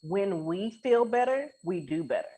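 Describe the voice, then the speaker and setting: A woman's voice: mid-range voice, lively intonation, normal speed, warm tone, breathy edge. A woman speaking as a guest coach in a casual podcast conversation, earnest and colloquial, with emphatic phrasing.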